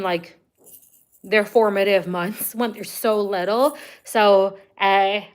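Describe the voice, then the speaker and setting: Smooth-voiced woman chatting on a livestream. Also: mid-range voice, poor audio. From Canada. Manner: chatty, frequent "like" and "um" fillers. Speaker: livestream host answering viewer comments. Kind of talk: casual livestream chat.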